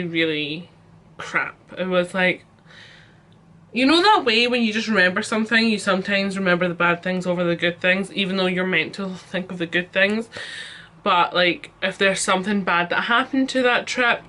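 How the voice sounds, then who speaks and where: Young Scottish woman vlogger, exasperated storytime rant, bright forceful tone. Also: on the low side, a little hiss or hum. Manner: chatty, exasperated storytelling with many fillers.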